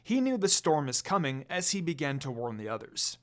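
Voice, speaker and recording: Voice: medium pitch, big pitch swings, a little fast. A narrator reading a scripted fan fiction story aloud.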